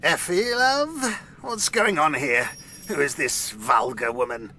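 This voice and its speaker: A man's voice: fairly high pitch, slow, bright, forceful tone, a little hiss or hum, heavily acted. An actor playing a possessive, menacing fairy king in an audio drama, with a theatrical, oily, scornful delivery. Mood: angry, imperious.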